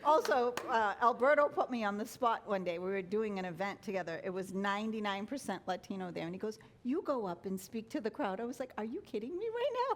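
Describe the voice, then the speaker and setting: A woman's voice: medium pitch, lively intonation, quick pace, few pauses, a little hiss or hum. A woman on a panel telling a self-deprecating story with a lot of laughter. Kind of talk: casual panel chat.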